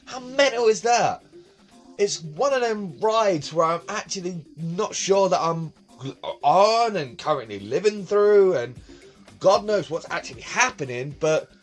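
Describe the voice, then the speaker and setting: A young man talks to his phone camera in his bedroom, hosting his own vlog. His voice sits a bit high, and he rambles and repeats himself in a casual way. Faint music plays behind him. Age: 20s. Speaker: vlog host. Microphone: phone camera on tripod.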